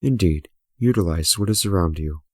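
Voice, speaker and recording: A deep, dry-recorded male guardian spirit acted by a man delivers messages from on high. He is stately, formal and detached, in fantasy audio drama style. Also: normal speed, breathy edge, no echo. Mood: solemn. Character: solemn, aloof male guardian spirit, divine messenger.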